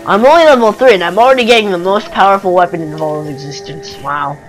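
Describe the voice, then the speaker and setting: A young man chatting casually over a video game with a friend, excitable, sarcastic and sweary. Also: sits high, quick pace, low-quality recording. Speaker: gamer commentating over gameplay. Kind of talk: casual gameplay chat with a friend. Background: game music and sounds.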